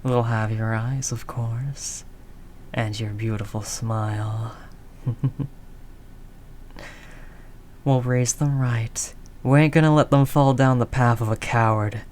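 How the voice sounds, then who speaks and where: A woman playing a tough, doting mafia heiress girlfriend in an intimate audio roleplay, low-voiced, talking in affectionate slangy tough talk. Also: fairly even intonation, slow, long pauses, a little mellow, slight background noise. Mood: hopeful.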